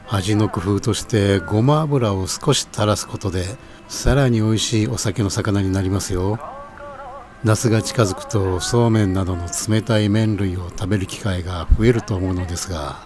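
A man playing a kindly, knowledgeable older cook in a radio sound drama, explaining things politely and gently. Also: fairly low pitch, close to an everyday voice.

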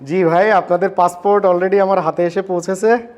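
Male vlogger-consultant, Bangladeshi accent, high voice. Earnest, courteous, conversational, some English mixed in. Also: fairly even intonation, moderate pace, darkish tone. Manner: earnest, polite, mixes in English words. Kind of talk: casual vlog talk and phone chat.

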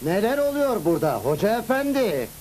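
A man's voice: sits high, sing-song, fairly quick, a little mellow. An actor playing a stern, indignant district governor in a cartoon, formal and commanding as he questions people. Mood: stern, questioning. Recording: hissy old cartoon soundtrack.

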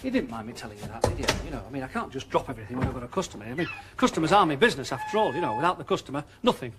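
An actor playing a fussy but soft-hearted middle-aged shopkeeper, blustering one moment and tender the next. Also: male voice, medium pitch, big pitch swings, rapid-fire, background noise, natural voice. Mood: self-justifying. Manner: bustling, blustering then tender. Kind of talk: TV soap drama acting.

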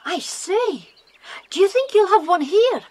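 A woman with a British accent playing an eager, excitable farm boy who craves adventure in a children's audio drama. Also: very high-pitched, measured pace. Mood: excited, eager.

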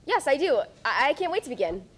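A woman's voice: high voice, very animated, quick pace. A woman playing a boastful small-time actor in a stage comedy, smug and self-important. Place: theater stage.

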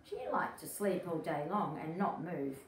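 Story-time host: middle-aged woman, unhurried, expressive read-aloud for little kids, echoey room, slightly distant mic. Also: low voice, a little hiss or hum. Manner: expressive, emphatic, addressing young children. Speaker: early childhood educator, story-time host. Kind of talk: children's picture book read-aloud. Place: small indoor room.

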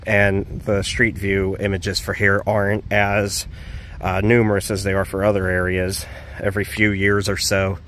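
Man, lowish voice, casual vlog commentary, thinks aloud, handheld phone outdoors, faint background noise. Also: monotone, normal speed, a bit bright. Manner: rambling, thinks aloud with fillers. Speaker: urban exploring vlogger. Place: outdoors around an abandoned store. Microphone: handheld phone camera.

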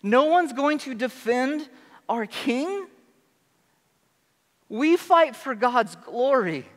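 A zealous young shepherd calls out in a high, fired-up voice, as a preacher puts him on. He is fervent and rallying. The sound rings in a big reverberant hall. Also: animated, very slow, heavily acted. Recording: echoey hall.

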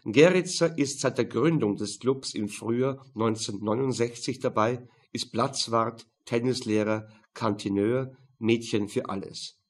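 A man reads a crime novel as an audiobook narrator. His voice is mid-pitched with a rough, husky edge. The delivery is scripted, dry and matter-of-fact. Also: a little slow, hardly any pauses.

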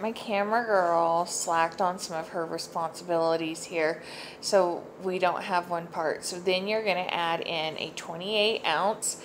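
A woman's voice: on the low side, slight background noise, poor audio. Chatty woman walking through a recipe. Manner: chatty, upbeat, lots of asides and fillers. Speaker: home cooking vlogger. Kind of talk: casual cooking tutorial voice-over. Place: home kitchen.

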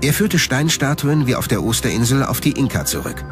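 A man's voice: mid-range voice, sing-song, a little fast, over music. A man reading scripted narration as the narrator of a documentary.

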